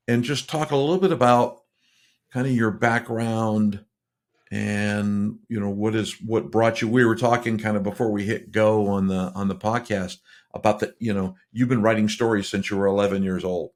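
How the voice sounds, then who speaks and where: Male podcast host, low voice, loose and rambling, lots of "you know" and restarts. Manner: rambling, friendly, many fillers and restarts. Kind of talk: casual interview chat.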